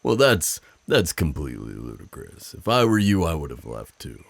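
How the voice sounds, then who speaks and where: A man playing a flirtatious, protective werewolf of the forest in an intimate ASMR roleplay, with a very deep, gravelly, husky voice and a teasing, deliberate delivery. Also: very animated, dry recording, heavily acted. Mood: casual, listening. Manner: slow, teasing, chuckling, deliberate pauses.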